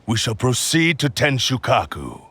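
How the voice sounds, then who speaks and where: A man's voice: medium pitch, sing-song, unhurried, a bit bright, slight background noise. A man voicing a haughty, menacing cursed sword spirit in an anime video game, imperious, formal and disdainful. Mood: haughty assent.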